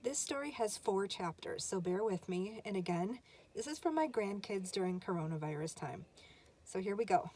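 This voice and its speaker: A woman's voice: medium pitch, lively intonation, moderate pace, a little mellow, slight background noise. An older woman, a grandmother, reading a children's storybook aloud.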